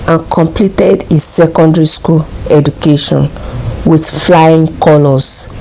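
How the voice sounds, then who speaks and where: A woman with a Nigerian accent narrating a scripted folktale in a measured, formal storybook manner. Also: lowish voice, dark tone, a little hiss or hum, rough recording.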